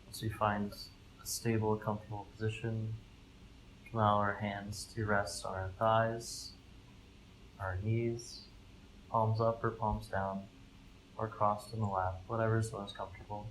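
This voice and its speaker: A live recording with noticeable background noise carries a man's low voice. He guides a meditation calmly, with little melodic movement. His instructions come gently in measured phrases, with room to breathe between them. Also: low-quality recording. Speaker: mindfulness fellow, meditation guide.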